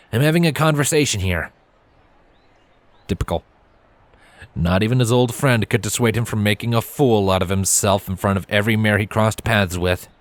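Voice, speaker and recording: A man narrates a story expressively. His voice sits a little low and moves widely in pitch as he reads. The recording is dry, with very little room sound. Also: no room sound. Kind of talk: expressive scripted narration of fan fiction.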